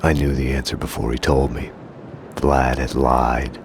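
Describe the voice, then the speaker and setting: A brooding, hard-boiled police detective from a noir video game, with an extremely low voice. He speaks in terse, deadpan lines, world-weary throughout. Noticeable noise sits behind him. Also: a little slow. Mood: grim, brooding. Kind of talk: scripted noir game narration.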